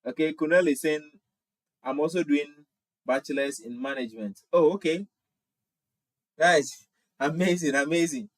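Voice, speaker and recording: A man's voice: on the high side, moderate pace, long pauses, dry recording, poor audio. A man in his twenties from Ghana with a Ghanaian accent, hosting a casual livestream, reading viewer comments aloud and answering them in a friendly way, often repeating himself. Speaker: livestream host.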